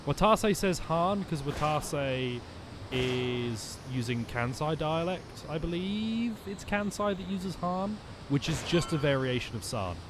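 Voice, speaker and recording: A man's voice: a little high, expressive, takes it slowly, noisy background. A young man streaming a game, chatting and thinking aloud with lots of ums and restarts. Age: young adult. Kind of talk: gaming commentary.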